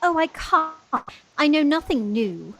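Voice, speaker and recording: A woman's voice: high voice, very animated, unhurried, no echo, heavily acted. A woman acting a sweet, shy, earnest young Victorian girl in a radio farce, with a polite manner.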